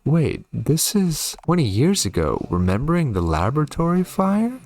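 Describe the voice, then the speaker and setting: A man's voice: fairly high pitch, huge pitch swings, moderate pace, a little mellow, slight background noise. A man hosting a game playthrough, reading on-screen text aloud and adding short reactions of his own. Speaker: gaming video host.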